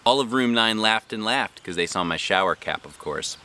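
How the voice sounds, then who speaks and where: A man hosting a read-aloud, narrating a children's storybook in an animated style. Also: on the low side, moderate pace, clear, resonant tone.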